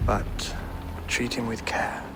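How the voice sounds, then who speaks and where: An actor playing a pious medieval king, solemn, grave and deliberate, in a video game drama. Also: close to an everyday voice. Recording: noisy game ambience behind.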